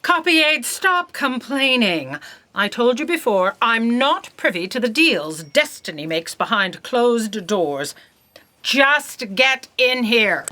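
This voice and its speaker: A bossy, indignant office manager played by a woman in a comic audio drama. Her sharp, fairly high voice swings widely as she gives officious, exasperated orders. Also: very animated, slow pace, slight background noise, heavily acted. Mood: stern refusal. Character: imperious, indignant female office boss.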